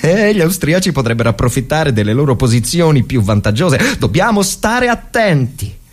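An actor playing a tormented, fervent Italian army officer in the trenches of the First World War, in a theatrical dramatic reading with halting repetitions. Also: male voice, a little high, big pitch swings. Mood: tense briefing. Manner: theatrical, halting, repeating phrases, swinging from hushed to frenzied. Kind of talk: dramatic literary reading as monologue.

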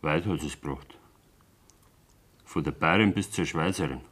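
An actor playing an angry, stubborn young farmer's son with a Bavarian accent in a radio play, blunt and sarcastic. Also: male voice, rumbling low, moderate pace, fairly bright tone, breathy edge, heavily acted. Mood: sceptical, sarcastic. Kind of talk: 1970s German radio play acting.

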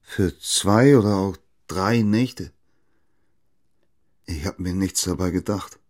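An actor playing a bitter, defensive bereaved husband in a radio drama, with a husky voice and a curt, strained manner. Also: male voice, low-pitched, slow, a little mellow. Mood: guarded.

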